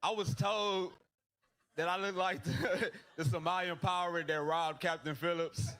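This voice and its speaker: A man performing a stand-up comedy set, telling self-deprecating jokes in a deadpan way. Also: high-pitched, measured pace. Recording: noisy club recording.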